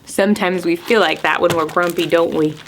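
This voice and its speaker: A woman presenting storytime to preschoolers, asking simple questions and stressing key words deliberately. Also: lowish voice, steady tone, very noisy. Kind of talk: storybook reading and talking to young children.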